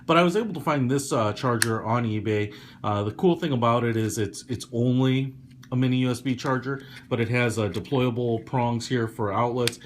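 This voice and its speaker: A man giving a casual, hands-on gadget demonstration in an explanatory, measured way, hedging his opinions as a product reviewer. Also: medium pitch, a little hiss or hum.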